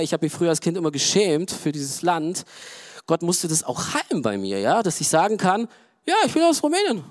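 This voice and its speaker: Man, middle-aged, pastor, slightly high voice, loose conversational sermon, quiet asides. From Romania. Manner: conversational, frequent "ja" and "ähm" fillers.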